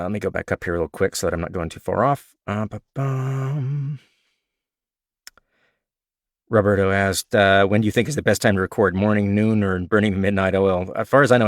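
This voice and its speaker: Male narrator, slightly raspy and low-pitched, relaxed chatty delivery, dry close recording. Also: a little fast, no echo. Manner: relaxed, chatty, self-described nerd with frequent fillers. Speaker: audiobook narrator sharing tips. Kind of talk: casual Q&A livestream talk.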